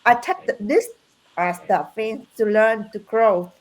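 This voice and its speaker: A woman whose first language is Vietnamese tells her story on a live video call. She speaks in simple, halting English with dropped words and pauses to find them. She works as a business coach. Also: mid-range voice, rough recording. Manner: slow and earnest, pausing to find words. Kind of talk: live online testimony.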